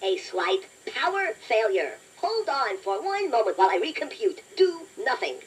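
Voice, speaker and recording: A woman voicing a chatty, playful toy robot that hosts a children's quiz, encouraging the listener in a deliberate, self-deprecating way. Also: high voice, very animated. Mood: flustered. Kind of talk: scripted children's quiz narration. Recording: noisy toy cassette playback, distant from mic.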